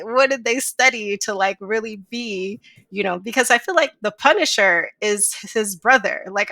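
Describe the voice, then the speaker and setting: A young adult woman with a slight Chicago accent, hosting a casual podcast chat and telling stories with lively voiced asides. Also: mid-range voice, no echo. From Chicago area. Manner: chatty, animated storytelling with voiced asides. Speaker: podcast host. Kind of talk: casual conversation.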